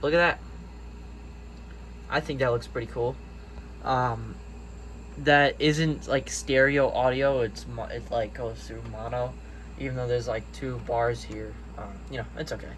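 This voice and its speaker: A man giving a casual show-and-tell vlog as a hobbyist, rambling and haltingly correcting himself as he goes. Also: medium pitch, steady tone, a bit bright, a little hiss or hum, rough recording. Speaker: hobbyist video maker. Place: indoors, room with audio gear.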